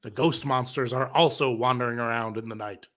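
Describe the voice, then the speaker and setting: A man's voice: medium pitch, fairly even intonation, brisk, fairly bright tone. A man reading scripted narration as narrator of a comedic live table read.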